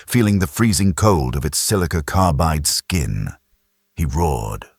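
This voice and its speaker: A man's voice: deep voice, no room sound, studio quality. A man reading dramatic science fiction as an audiobook narrator, with grave, intense storytelling delivery. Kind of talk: dramatic scripted sci-fi narration.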